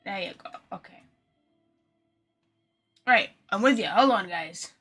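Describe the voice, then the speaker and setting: A woman's voice: medium pitch, animated, a little slow, fairly bright tone, breathy edge, soft background music. A woman game streamer chatting casually to her viewers, rambling and poking fun at herself. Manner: chatty, rambling, self-deprecating. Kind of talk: casual live-stream chat.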